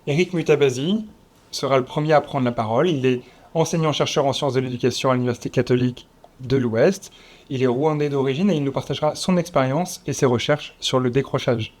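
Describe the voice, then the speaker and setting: Measured man moderating a round table. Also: medium pitch, a little mellow. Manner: measured, slightly formal, with occasional fillers. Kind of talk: formal event introduction. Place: conference room with audience.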